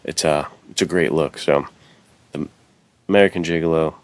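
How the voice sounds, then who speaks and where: Man, very deep bass voice, informal, keen, conversational podcast co-host. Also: fairly even intonation, normal speed, no room sound. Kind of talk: casual podcast chat about film and menswear.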